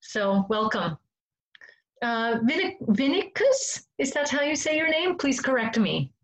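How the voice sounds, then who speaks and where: A woman hosting an online group discussion over a video call, friendly and halting with frequent ums as she welcomes participants. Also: sits high, sing-song, rough recording.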